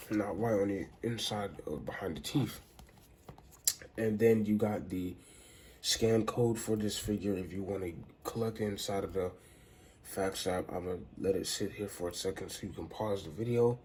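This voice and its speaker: A man with a congested voice, casually reviewing a toy in a rambling, halting way. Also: fairly low pitch, darkish tone, a little hiss or hum. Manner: rambling, halting, restarts sentences often. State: congested, stuffy nose.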